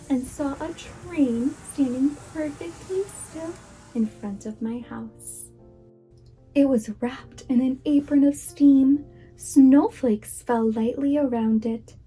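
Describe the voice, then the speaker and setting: A woman's voice: high-pitched, mellow, low-quality recording. A woman reading a children's picture book aloud, as a preschool teacher would. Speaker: guest storybook reader, preschool teacher.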